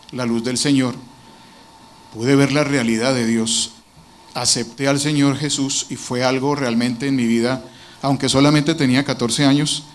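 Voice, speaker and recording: A man's voice: mid-range voice, a little hiss or hum. A man from Colombia, giving an earnest, reflective personal testimony to a church congregation.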